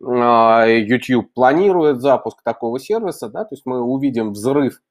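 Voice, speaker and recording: Middle-aged man, medium pitch, interview host on a video call, deliberate, halting, hesitant phrasing. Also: animated. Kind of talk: unscripted interview hosting. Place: indoor room, on a video call.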